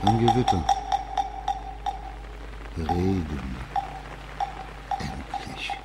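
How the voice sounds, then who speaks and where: An actor playing a stern, dignified magistrate in a radio drama, speaking in a formal, measured and authoritative way. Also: male voice, sits low, expressive, very noisy, heavily acted. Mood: weary relief. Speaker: judge character.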